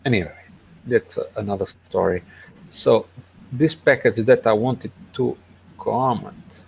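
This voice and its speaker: A man co-hosting a casual tech podcast over an online call, speaking in a hesitant, halting way. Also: on the low side, gravelly, darkish tone, slight background noise, low-quality recording. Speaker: podcast co-host. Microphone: online video hangout.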